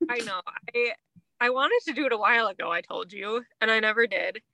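Bright-voiced woman in casual podcast chat. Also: on the high side, fairly quick, creaky, no room sound, poor audio. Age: 30s. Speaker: podcast co-host.

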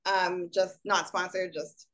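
A woman in her late twenties, a guest on a podcast, chatting casually and relaxed. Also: fairly low pitch, slow pace, slight background noise. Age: 20s. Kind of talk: casual interview chat.

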